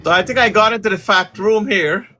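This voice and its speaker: Middle-aged guyanese guy on a livestream, bright voice, pitched a bit high, lots of ums. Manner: halting, many fillers, friendly. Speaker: community leader hosting a livestream. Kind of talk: casual livestream chat.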